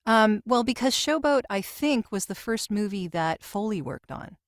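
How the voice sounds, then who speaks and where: A woman's voice: medium pitch, lively intonation, moderate pace, no room sound. Woman podcast host, dry close sound. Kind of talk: casual interview chat.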